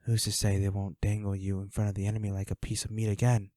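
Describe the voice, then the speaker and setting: A man playing a flirty, menacing villain in an audio roleplay, speaking to the listener with taunting, mock-sympathetic, unhurried delivery. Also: lowish voice, monotone, dark tone, no echo, clean studio sound, close to an everyday voice. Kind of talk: scripted audio roleplay acting addressed to the listener.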